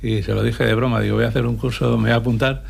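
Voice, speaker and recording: A man from Spain, a former lighthouse keeper, answering radio interview questions in a relaxed, hesitant way. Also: mid-range voice, racing, creaky, slightly rough. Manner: relaxed, anecdotal, many hesitations. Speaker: guest, retired lighthouse keeper.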